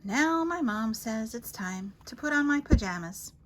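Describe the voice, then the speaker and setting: Lady doing kids bedtime story read-aloud, bright voice, really theatrical, takes her time, bit of background noise. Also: fairly high pitch, poor audio. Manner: theatrical, expressive, with dramatic pauses and emphasis. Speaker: library storyteller reading picture books aloud.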